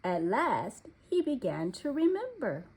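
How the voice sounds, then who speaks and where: A woman narrating a children's storybook aloud in an expressive, deliberate storytelling manner. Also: on the high side, slightly rough.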